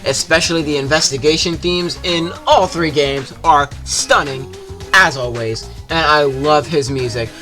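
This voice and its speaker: A man's voice: on the high side, a little slow, bright, forceful tone, faint music behind. A man giving a casual, jokey, scripted video game review as a YouTuber, with some swearing.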